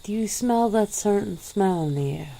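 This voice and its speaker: A woman voicing an ancient, weary female vampire in a soft-spoken ASMR roleplay, with low chuckles. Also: lively intonation, normal speed, vocal fry, slight background noise.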